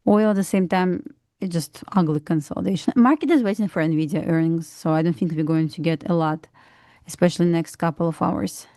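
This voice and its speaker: A woman from Belarus with a Russian accent, giving calm, matter-of-fact market commentary on a live trading stream. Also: lowish voice, a little fast, dry recording, clean studio sound. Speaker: trader co-host.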